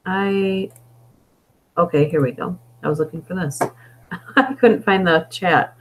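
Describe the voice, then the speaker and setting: A woman hosts a casual live stream, reading stories and chatting between them. Her voice is hoarse, as if it is wearing out. She thinks aloud and wanders from point to point. She speaks into an inexpensive desktop microphone. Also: fairly low pitch, a little slow, a little hiss or hum. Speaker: story reader and live streamer. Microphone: budget desktop microphone.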